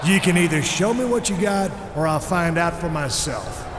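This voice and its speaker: A no-nonsense wrestler from a video game played by a man, wary and challenging. His voice sits high for a man and rings with echo and long reverb, over faint noise. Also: expressive, moderate pace, close to an everyday voice. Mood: suspicious, impatient. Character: wary, no-nonsense male wrestler confronting a rival. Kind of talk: scripted wrestling game dialogue. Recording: echoey, roomy, faint background noise.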